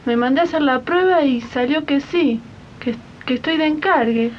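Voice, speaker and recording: A woman's voice: moderate pace, a little hiss or hum, lightly acted. A woman playing a timid, worried young maid in a 1980s community radio drama, meek and hesitant, with an Argentine accent. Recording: muffled, rumbly 1980s archive radio recording.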